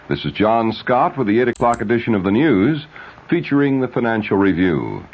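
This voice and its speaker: A man reading a scripted 1970s radio news bulletin as anchor. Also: lowish voice. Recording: dull, lo-fi old radio recording.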